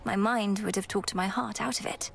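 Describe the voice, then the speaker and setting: An actress playing a poised young goddess princess in a video game, formal and composed, earnest and guardedly fond. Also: female voice, medium pitch, steady tone, moderate pace, creaky, slight background noise, close to an everyday voice. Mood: candid, tender. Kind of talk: scripted video game romance dialogue.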